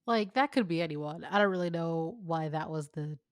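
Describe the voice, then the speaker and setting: Female co-host, slightly low voice, close dry sound, informal reader with wry asides. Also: moderate pace, dry recording. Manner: wry, colloquial commentary. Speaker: co-host of a fan fiction reading show. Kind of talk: casual chat, reading fan fiction aloud.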